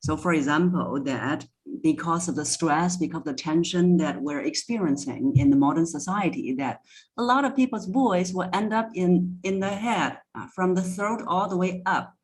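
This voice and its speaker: A woman's voice: lowish voice, normal speed, poor audio. A woman speaking as a voice coach in an interview, explaining things step by step with examples. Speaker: voice coach, podcast guest.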